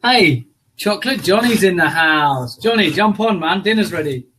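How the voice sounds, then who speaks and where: An upbeat Australian man runs a video call, cheerfully waving newcomers in. His voice sits a bit high for a man, with faint noise under it. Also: expressive, normal speed, bright, forceful tone. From Sydney, Australia. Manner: upbeat, welcoming greetings. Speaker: host of a live video chat. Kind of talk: casual chat.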